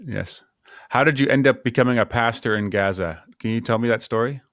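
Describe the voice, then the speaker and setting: A man hosting a podcast, asking friendly follow-up questions with quick restarts. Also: mid-range voice, a bit bright, no room sound, clean studio sound. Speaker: podcast host. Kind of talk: casual interview questions.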